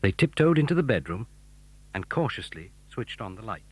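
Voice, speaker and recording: Expressive British male storyteller, lightly husky. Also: fairly low pitch, normal speed, no room sound. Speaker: narrator. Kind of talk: scripted dramatic storytelling for children's adventure audio drama.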